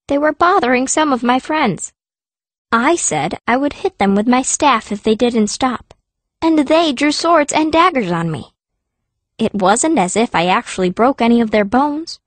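Female audiobook narrator, higher register, fierce young heroine telling her story, very dry sound. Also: no echo. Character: fierce, stubborn girl stone mage telling her story. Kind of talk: first-person scripted narration.

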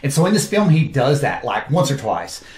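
A man, a film director, talks through his cast with eager, animated enthusiasm. His voice sits at a middle pitch and carries a roomy echo. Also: moderate pace. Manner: enthusiastic, animated storytelling with quoted asides. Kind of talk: casual interview talk.

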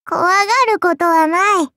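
High, imperious little demon-god child. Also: female voice, sharp tone, dry recording, full character voice. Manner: haughty, archaic lordly speech, indulgent teasing. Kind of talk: anime game character voice lines.

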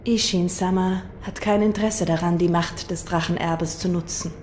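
A woman's voice: on the low side, steady tone, normal speed, a little mellow, a little hiss or hum, lightly acted. A woman in an audio drama playing a composed, dutiful physician with a formal, reserved manner. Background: music.

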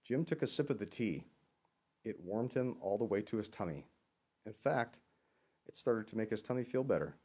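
A man reading a children's picture book aloud as an expressive storyteller. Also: lowish voice, moderate pace, pauses often, breathy edge. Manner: expressive, acts out dialogue. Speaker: storyteller dressed as Santa.

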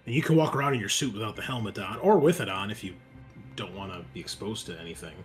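A man's voice: fairly low pitch, fast, soft background music. A man acting as game master, casually narrating and explaining things to players.

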